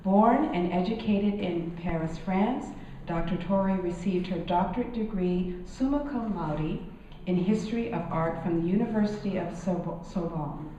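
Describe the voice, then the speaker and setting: A woman's voice: lowish voice, normal speed, warm tone, a little hiss or hum. A woman host reading a formal speaker introduction from paper in a small gallery room. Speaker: event host. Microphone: far camera. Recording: roomy, distant, dull lo-fi.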